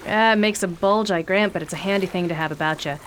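A woman's voice: lowish voice, fast, lightly acted, poor audio. A woman playing a blunt, hard-edged working-class woman with slangy speech in a radio drama, with faint background noise. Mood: wry, softening. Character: brash, hard-edged young working-class woman, pistol-carrying and prickly.

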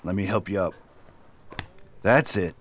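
A steady, concerned frontier marshal in a radio play, acted by a man. His voice sits fairly low, with faint noise behind it. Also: a little slow. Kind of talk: western radio drama acting.

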